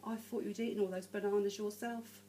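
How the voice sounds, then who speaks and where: A woman's voice: medium pitch, fairly even intonation, fairly quick, warm tone, a little hiss or hum, natural voice. A woman doing a sweet, loving animal girl. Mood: apologetic.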